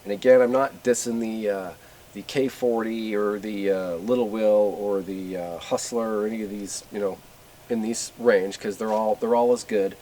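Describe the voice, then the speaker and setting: A man's voice: lowish voice, fairly even intonation, normal speed, mellow. Middle-aged American man over background noise. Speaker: video host reviewing radio gear. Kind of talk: casual unscripted product review commentary. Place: in front of a closed garage door. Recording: clear background noise, camera at a distance.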